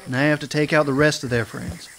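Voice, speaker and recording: An actor playing a gruff, blunt old-west marshal in a radio drama. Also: male voice, on the high side, steady tone, fast, noisy background, close to an everyday voice. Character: gruff weary male marshal in 1909.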